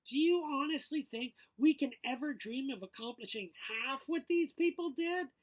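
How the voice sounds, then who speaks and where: A man's voice: very high-pitched, moderate pace, pitched above the performer's own voice. A man voicing an earnest, indignant teenage schoolboy from a novel, speaking emphatically and accusingly.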